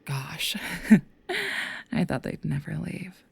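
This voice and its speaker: A seductive demon in a woman's body, acted by a woman for an audio roleplay. The voice is low and creaky, slipping into breathy whispers as it mocks and teases in drawn-out phrases. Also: huge pitch swings, dry recording, exaggerated voice. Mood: smug, musing. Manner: mocking, teasing, drawn-out phrasing.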